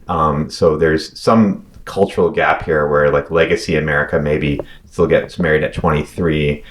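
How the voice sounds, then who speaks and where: A man in his late thirties from North Carolina, hosting a casual conversation in a measured, thoughtful way with occasional ums. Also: very deep voice, slight background noise. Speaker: podcast host.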